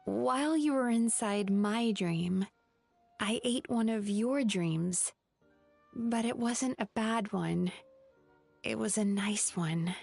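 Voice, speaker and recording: Polite, earnest young spirit girl for a fantasy game, higher-pitched voice. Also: vocal fry, a little mellow, exaggerated voice. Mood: remorseful. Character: polite, earnest female dream-eating tapir spirit, young therapist. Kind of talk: video game voice acting.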